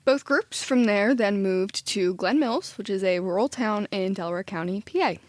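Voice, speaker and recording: A woman's voice: medium pitch, moderate pace, dry recording. Chatty woman. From Philadelphia area. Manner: chatty, laughs often, enthusiastic. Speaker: podcast co-host. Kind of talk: casual chat and storytelling.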